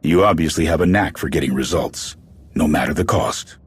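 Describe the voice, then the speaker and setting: An actor playing a stern, blunt military clan commander in a video game cutscene, with a husky, authoritative delivery. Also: male voice, normal speed, full character voice. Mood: measured, persuasive. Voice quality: very deep, gravelly, husky.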